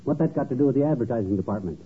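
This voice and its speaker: A man's voice: mid-range voice, lively intonation, rapid-fire, natural voice. An actor playing a cocky, folksy American husband who wisecracks like an overgrown boy, in old-time radio comedy. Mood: wisecracking. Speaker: comic lead. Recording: tinny, noisy old radio recording.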